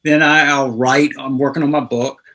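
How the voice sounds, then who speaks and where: A man's voice: medium pitch, fairly even intonation, normal speed, sharp tone. A man in his sixties from North Carolina, a guest telling rambling anecdotes in a casual interview. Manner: rambling, anecdotal, laughs through stories. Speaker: guest guitarist.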